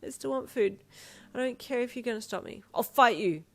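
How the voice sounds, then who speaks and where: Woman acting out a young pregnant woman, high, loud, sobbing, snappish outbursts. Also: brisk. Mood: stubborn.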